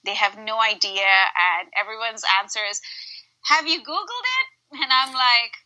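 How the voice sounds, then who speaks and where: A woman's voice: on the high side, unhurried, sharp tone, lo-fi sound. A woman from Dubai in her thirties, a home cook guest talking animatedly in a casual interview and laughing often.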